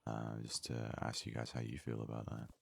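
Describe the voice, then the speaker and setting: A man giving soft-spoken ASMR game commentary in an unhurried, hesitant way, as if thinking aloud. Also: very deep voice, monotone, creaky, a little hiss or hum. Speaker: gaming video host.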